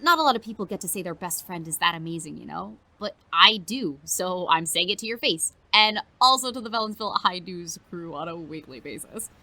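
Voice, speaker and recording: Woman as a bright, animated teen best friend. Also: on the low side, a little fast, few pauses. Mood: excited, sincere. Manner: animated, casual, affectionate teasing. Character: earnest, teasing teenage girl student reporter, loyal best friend. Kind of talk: naturalistic audio drama acting.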